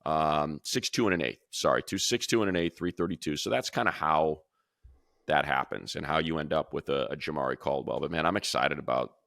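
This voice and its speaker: A middle-aged man, a radio play-by-play announcer, breaking down players in an animated, excitable casual sports chat. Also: extremely low pitch, vocal fry, no echo, studio quality. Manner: animated, excitable, rapid runs of analysis.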